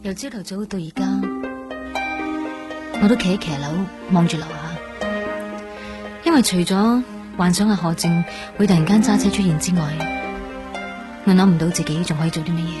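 A woman plays a lovelorn young Hong Kong woman confiding her thoughts in a radio drama. Her voice sits a little low, wistful and measured, over clear background music. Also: lightly acted. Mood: sad, wistful.

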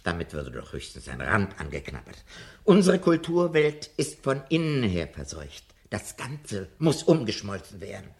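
An actor playing a fearful, sardonic old professor in a German radio drama, speaking in eloquent, theatrical declamation. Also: male voice, fairly low pitch, huge pitch swings, moderate pace, creaky, full character voice. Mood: thoughtful, cautious. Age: older.

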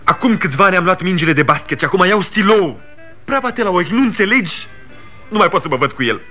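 A pleading grown son. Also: male voice, sits high, expressive, sharp tone, soft background music. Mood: desperate. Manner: pleading, urgent. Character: grown son, young man, ashamed and pleading. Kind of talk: 1960s radio drama acting.

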